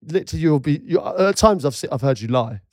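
A man's voice: a little high, lively intonation, fast talker, darkish tone, dry recording. Teasing man co-host, dry close sound. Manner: teasing, laughs often, rambling anecdotes. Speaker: podcast co-host. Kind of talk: casual chat.

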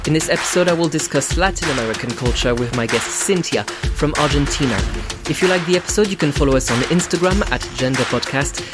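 A woman's voice: low voice, fast talker, loud music behind the voice. A podcast host from France with a French accent, opening the show in a teasing, playful way and chatting casually with her guest. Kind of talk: casual chat.

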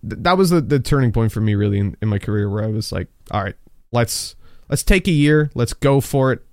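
A man's voice: medium pitch, animated, a little fast, a little mellow, no room sound, clean studio sound. A young man from Ireland, an esports caster with a mixed, neutral accent, chatting casually and self-deprecatingly in an interview, often restarting his sentences. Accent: mixed, neutral Irish-American.

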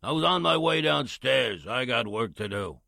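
Man playing a gravelly, defiant radio-drama working man. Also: medium pitch, expressive, normal speed, sharp tone, dry recording, exaggerated voice. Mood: flustered. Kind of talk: 1940s radio drama acting.

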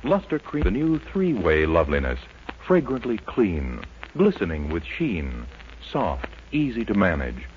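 An American male announcer in 1940s radio style. His pitch swings dramatically as he sells, on a muffled, slightly noisy old recording. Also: wildly swooping pitch, a little slow, a little mellow.

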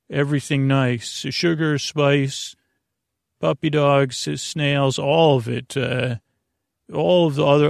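A man hosting a sleep podcast in a creaky voice, meandering and halting through whimsical, self-deprecating tangents as he eases listeners toward bed. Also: medium pitch, darkish tone, dry recording, clean studio sound. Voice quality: creaky, gravelly. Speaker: podcast host. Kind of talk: rambling bedtime sleep podcast monologue.

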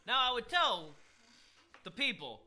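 Hoarse, bright-voiced man rambling. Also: extremely high pitch, big pitch swings, measured pace. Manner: rambling, profane, self-mocking. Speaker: podcast co-host. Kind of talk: casual chat.

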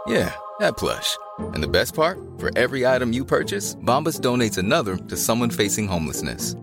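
A man's voice: medium pitch, sing-song, brisk. A man reading an advertisement in a playful, casual way. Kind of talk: radio ad read. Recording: music bed under the voice.

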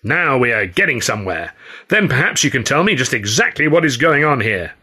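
A man voicing a self-important, stubborn comic actor playing a police chief, loud and declamatory, in an audiobook. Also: mid-range voice, very animated, a little fast, slightly rough, full character voice. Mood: pompous, bellowing.

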